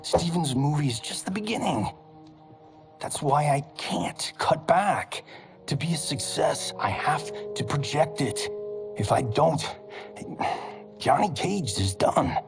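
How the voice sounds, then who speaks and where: A man's voice: fairly high pitch, slow, creaky, exaggerated voice. An actor playing a brash, wisecracking male movie star in a video game cutscene. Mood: cocky. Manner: cocky, wisecracking, animated. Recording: game cutscene with music behind.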